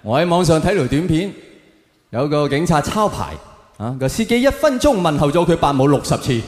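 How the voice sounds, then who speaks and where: A man's voice: fairly high pitch, sing-song, normal speed, a little mellow. A man from Hong Kong with a Hong Kong Cantonese accent, performing stand-up comedy in a sardonic, theatrical manner full of rhetorical questions. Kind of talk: stand-up comedy monologue to a live audience. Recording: echoey hall with long reverb.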